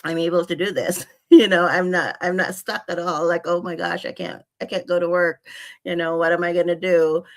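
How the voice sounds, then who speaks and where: A woman's voice: lowish voice, flat delivery, moderate pace. A woman in her forties from the Philippines chatting as a guest, with many fillers and frequent laughs. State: unwell. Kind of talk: casual chat.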